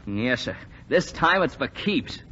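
An actor playing an eager, headstrong young would-be flyer in a 1940s radio drama, earnest and stammering. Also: male voice, mid-range voice, sing-song, measured pace. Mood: determined. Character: eager, headstrong young washed-out Air Forces flyer from Texas. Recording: muffled, noisy, reverberant 1940s radio recording.

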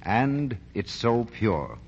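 An American man announcing and pitching for a sponsor in 1940s radio style. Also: very animated, drawn-out words, vocal fry. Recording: muffled vintage radio broadcast.

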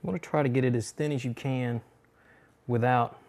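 A man's voice: medium pitch, brisk. Middle-aged man demonstrating home cooking. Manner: relaxed step-by-step instruction with fillers. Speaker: cooking show host. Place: home kitchen, indoors.

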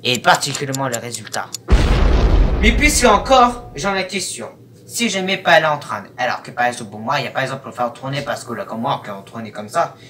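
A young man giving casual reaction commentary in a halting, rambling, disjointed way. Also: mid-range voice, animated. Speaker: YouTube host. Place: small room at home, indoors. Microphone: webcam at arm's length or more. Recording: noisy webcam, distant from the mic.

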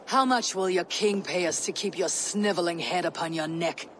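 An actor playing a stern, menacing Viking warrior leader in a video game, terse and commanding. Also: male voice, high voice, moderate pace, a bit bright, a little hiss or hum. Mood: scornful resolve.

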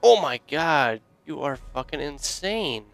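A young man giving casual, excitable commentary while playing a video game, joking and swearing freely. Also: on the high side, takes it slowly, gravelly, no room sound, faint music behind. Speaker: let's play gamer.

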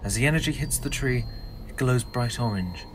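A man's voice: mid-range voice, lively intonation, normal speed, slight background noise. A man reading scripted narration for an audio drama.

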